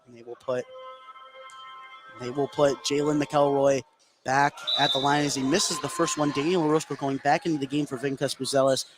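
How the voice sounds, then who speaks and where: A man giving excitable live play-by-play radio commentary on a basketball game, his voice rising on big plays. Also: mid-range voice, moderate pace, music in the background. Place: live at a high school basketball gym. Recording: clear background noise, gym ambience.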